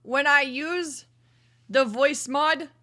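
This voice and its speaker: A hoarse woman streamer chatting casually to her livestream in a chatty, self-deprecating way from a room at home. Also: high voice, extremely slow, pushed and bright.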